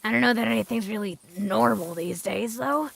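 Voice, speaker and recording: A woman playing a centuries-old female ghoul, a gruff, jaded wasteland guide with a creaky voice and a blunt, dry manner, in an audio drama. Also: on the high side, moderate pace, fairly bright tone, slight background noise. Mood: dry, unsure. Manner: blunt, dry, world-weary.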